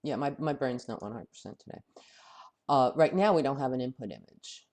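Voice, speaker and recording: A man with a head cold and a voice that is starting to go talks through a software tutorial. He thinks aloud, pausing and restarting his sentences as he works, at an ordinary middle pitch. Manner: thinking aloud, halting and self-correcting. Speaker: software instructor. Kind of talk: screen-recorded software tutorial.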